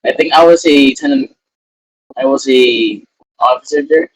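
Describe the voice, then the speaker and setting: A young man from Canada chatting casually on a podcast in an animated way, often repeating his phrases. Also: medium pitch, unhurried, no echo, low-quality recording. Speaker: podcast co-host. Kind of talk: casual chat.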